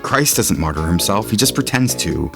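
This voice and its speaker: A man with a low voice reading scripted literary fiction as a narrator. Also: expressive, moderate pace, creaky, a bit bright. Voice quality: low-pitched. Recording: music under the voice. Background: guitar music.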